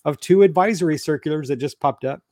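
A man's voice: a little high, fast talker, warm tone, dry recording, clean studio sound, voice pushed up, close to an everyday voice. A man putting on a playful storyteller voice, calling children to gather round for a story. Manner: playful, sing-song.

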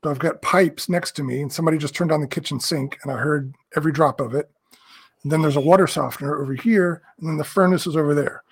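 A man's voice: a little high, quick pace, husky. A man co-hosting a casual podcast from his basement workshop at home, thinking aloud in an easygoing way. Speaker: podcast co-host. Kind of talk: casual hobby podcast chat.

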